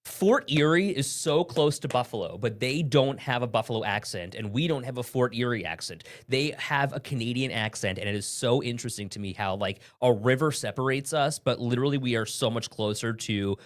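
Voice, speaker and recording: A man's voice: mid-range voice, a little fast, hardly any pauses. A man with a faint Long Island accent co-hosting a casual morning chat show, laughing easily and trailing off mid-thought. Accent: faint Long Island, mostly smoothed out. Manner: chatty, laughs often, trails off.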